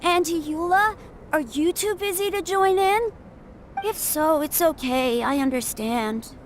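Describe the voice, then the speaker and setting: A woman's voice: extremely high pitch, measured pace, a little hiss or hum. A woman voicing a small, shy, polite young boy in a video game. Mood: shy, hopeful.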